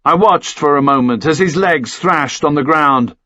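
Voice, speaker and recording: Man, higher-than-average pitch, scripted thriller narration, muffled recording. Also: moderate pace, bright, forceful tone, no room sound. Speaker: audiobook narrator.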